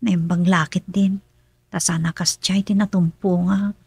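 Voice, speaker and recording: A woman's voice: fairly low pitch, flat delivery, unhurried, gravelly, darkish tone, breathy edge, dry recording, full character voice. A woman playing a concerned family member in a radio drama comedy, with an Ilocano accent.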